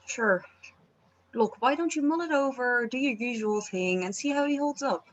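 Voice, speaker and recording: Casting: patient band manager, slightly high-pitched, diplomatic, for an audio script read, played by a woman. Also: normal speed, dull audio, natural voice. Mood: placating.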